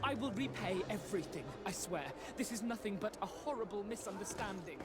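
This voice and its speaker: A high-pitched, well-born young gentleman. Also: lively intonation, fairly quick, loud music behind the voice. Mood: terrified pleading. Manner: pleading, polite. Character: pleading rich young merchant's debtor, frightened gentleman. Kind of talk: video game voice acting.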